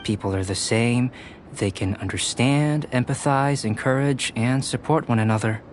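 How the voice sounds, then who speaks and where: A man voicing a calm, detached young alchemist in an anime-style video game, speaking in a measured, precise, professorial way. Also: medium pitch, slight background noise, lightly acted. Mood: reflective, explanatory.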